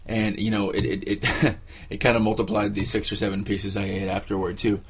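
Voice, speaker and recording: A man giving casual, reflective interview answers, haltingly searching for his words. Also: lowish voice, flat delivery, husky. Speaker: interviewee, exercise participant.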